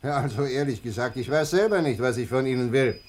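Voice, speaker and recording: An actor in a German radio play playing a confused, hesitant government minister. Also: male voice, mid-range voice, brisk, natural voice. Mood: flustered. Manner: hesitant, flustered.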